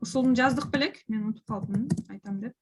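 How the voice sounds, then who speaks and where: A woman's voice: a little high, quick pace, noisy background. A woman language teacher. She explains and prompts in an encouraging manner, slipping between languages as she goes. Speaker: English teacher.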